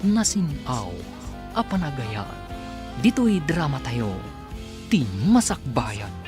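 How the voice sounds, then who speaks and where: A man's voice: on the high side, very animated, takes it slowly. A man narrating an Ilocano radio drama as its announcer, reading from a script. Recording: music behind the narration.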